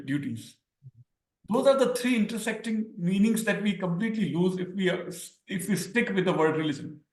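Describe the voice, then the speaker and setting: A man's voice: high-pitched, roomy sound, poor audio. A man from India with an Indian accent, a native Hindi speaker, explaining ideas as a scholar guest in an academic discussion and repeating words for emphasis.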